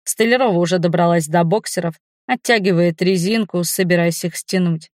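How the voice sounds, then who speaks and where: A woman's voice: fairly low pitch, normal speed, no echo, studio quality. A woman giving a dramatic first-person narration of a novel as an audiobook reader.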